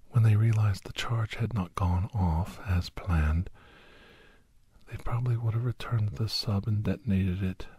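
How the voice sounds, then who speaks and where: A weary, wry doctor acted by a man recounts his adventures in an ASMR story. His voice is very husky and breathy, often sinking into a whisper, low and soft-edged. The delivery is deadpan and intimate, recorded close and dry. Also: dry recording. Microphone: close, dry microphone.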